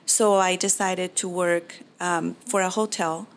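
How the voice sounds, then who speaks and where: A woman's voice: on the low side, steady tone, measured pace. A woman, giving earnest, reflective interview answers with frequent restarts. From Peru. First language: Spanish. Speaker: mortgage professional.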